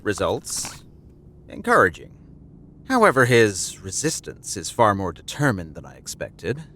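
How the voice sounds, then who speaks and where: An actor playing a cold villainous doctor in an audio drama, detached and matter-of-fact. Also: male voice, fairly low pitch, huge pitch swings, a little slow, a bit bright, a little hiss or hum, heavily acted. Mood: clinical, detached. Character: cold clinical male doctor, calm torturer.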